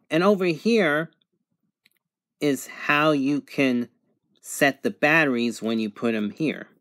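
A man with a mid-pitched voice talks through a product demonstration in a casual, plain way. He takes his time, explaining step by step. The sound is close and dry, with little room echo. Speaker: product reviewer.

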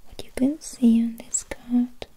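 A woman whispering breathily in an ASMR doctor roleplay, gently and softly prompting with questions. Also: fairly high pitch, little pitch movement, unhurried, husky. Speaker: ASMR performer playing a doctor giving an exam. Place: small indoor room with curtains.

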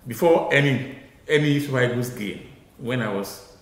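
A man's voice: medium pitch, normal speed, echoey room. A middle-aged Nigerian man giving opinions as a football pundit in a studio, talking emphatically and repeating his key points. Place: small TV studio with glass-block wall. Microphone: lapel.

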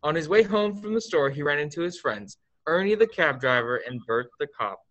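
A man's voice: fairly high pitch, lively intonation, normal speed, a bit bright, highs cut off. A young man playing a fond storyteller, narrating a radio play expressively. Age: young adult. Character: story-telling guide, recounting a life fondly.

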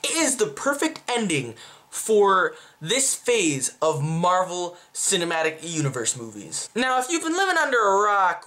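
A young man talks casually to camera in a small room at home. His delivery is animated, with pitch that swings up and down. He rambles and often breaks off to correct himself, like a chatty video reviewer. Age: young adult. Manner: animated, rambling, self-interrupting. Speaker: movie reviewer.

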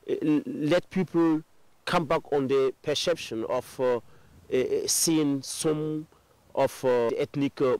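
A man's voice: mid-range voice, a little mellow, dry recording, poor audio. A man interviewed, speaking hesitantly with many fillers.

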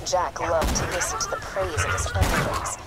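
A woman's voice: on the low side, normal speed, over loud music, natural voice. An actress playing a composed young female mission guide in a video game, instructive with dry wit.

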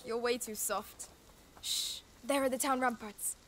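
A woman playing a brisk, streetwise young thief in a video game, direct and confident. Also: a little high, fairly even intonation, a bit bright, slight background noise. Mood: wry. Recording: music behind the voice.

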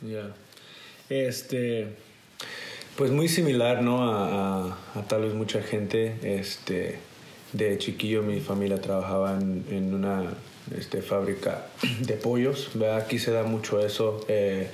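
A man's voice: fairly low pitch, fairly even intonation, slow, a little mellow, echoey room, a little hiss or hum. A man in his twenties, born in the US, telling stories from childhood in a conversational way full of fillers. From United States. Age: 20s. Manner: conversational, many "este" fillers. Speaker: guest. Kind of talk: casual storytelling. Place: garage set with couch, indoors.

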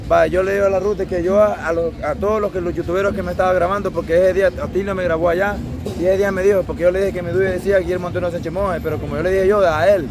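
A man's voice: high-pitched, steady tone, very fast. A man in his thirties from Guatemala, answering questions in a street interview, rambling and restarting his sentences, in an outdoor city plaza. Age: 30s. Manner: rambling, repeats himself, restarts often.

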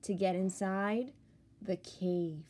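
A woman who is a teacher, reading a picture book aloud to children with expressive, theatrical emphasis on key words. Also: mid-range voice, takes it slowly, background noise.

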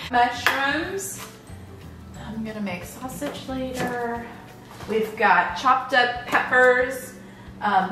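A woman in her fifties chats enthusiastically while cooking at home, her voice sitting a little high. The large kitchen gives her speech a roomy echo, and food sizzles now and then in the background. She trips over her words and restarts often. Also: background noise. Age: 50s. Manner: chatty, enthusiastic, many fillers and restarts. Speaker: lifestyle vlogger. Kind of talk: casual cooking vlog chat. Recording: echoey kitchen room.

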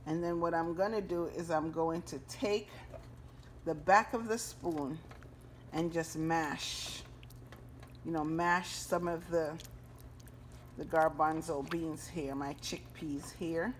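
A woman's voice: deep voice, fairly even intonation, slow, pauses often, slight background noise. A woman hosting a home cooking video, chatting casually and folksily to her viewers as she cooks at the stove. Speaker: home cooking channel host. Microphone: handheld phone camera.

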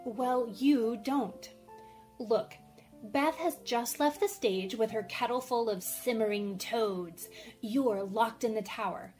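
A woman's voice: a little high, slow pace, darkish tone, soft background music. A woman acting a bold, theatrical tomboy teenage girl in an amateur radio drama, with eager, exclamatory delivery. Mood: bossy, theatrical.

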